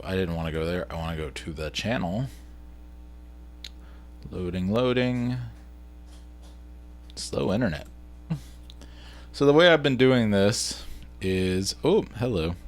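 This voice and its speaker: A man narrating a casual screen-share vlog, informally thinking aloud as he works through tasks on the computer. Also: low voice. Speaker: vlogger and video editor.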